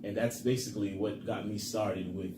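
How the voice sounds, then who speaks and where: A man's voice: on the low side, normal speed. A young man from Haiti, co-hosting a motivational podcast in a casual, earnest way, with plenty of fillers. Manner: relaxed, earnest, many fillers. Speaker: podcast co-host. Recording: echoey room with background noise.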